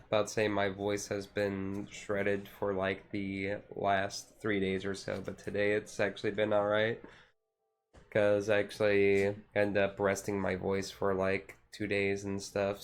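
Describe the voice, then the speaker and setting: A man chatting casually on a chill livestream, rambling and halting as he goes. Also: on the low side. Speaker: streamer.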